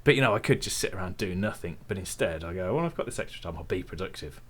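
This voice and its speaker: Deep-voiced relaxed man with Midlands accent. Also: fast, slight background noise. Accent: English Midlands. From English Midlands. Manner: relaxed, chatty, self-deprecating. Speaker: tech YouTuber guest. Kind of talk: casual interview chat.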